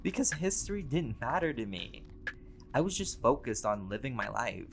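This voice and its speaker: A man's voice: mid-range voice, big pitch swings, moderate pace, vocal fry, a little hiss or hum. A man playing a laid-back, vain, centuries-old vampire in a conversational roleplay audio drama, with casual, sweary, bantering delivery. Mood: reflective, candid.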